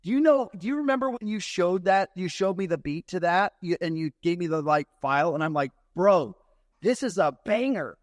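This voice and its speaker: A man hosting a casual interview, animated and joking with his guests. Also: high-pitched, moderate pace, dry recording, studio quality. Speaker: podcast host.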